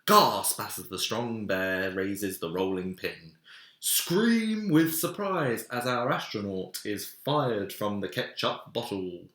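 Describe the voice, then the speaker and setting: A man voicing a small excited biscuit bear who announces a circus like a showy ringmaster in a storybook. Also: takes it slowly, echoey room, heavily acted. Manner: booming, theatrical barker delivery.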